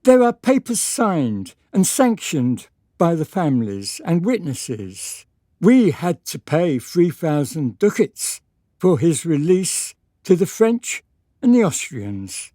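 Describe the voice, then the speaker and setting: Stern, evasive noble patriarch played by a woman, radio drama; mellow, unhurried, formal delivery with wide swings of pitch. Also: no echo, studio quality. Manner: measured, hesitant, patronizing, formal. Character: stern, evasive aristocratic father, a Venetian lord. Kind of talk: period radio drama acting.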